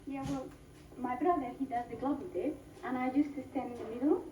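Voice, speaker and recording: Woman giving short soft answers on an old tv show, high mellow voice, echoey and far off. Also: fairly quick. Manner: brief, soft replies. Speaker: circus performer interviewed on TV. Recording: old TV clip, roomy and distant, faint noise.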